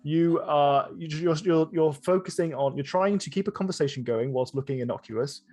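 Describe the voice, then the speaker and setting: A man narrating a tabletop game as game master, improvising in a halting way and often rephrasing. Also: on the high side, darkish tone, faint music behind.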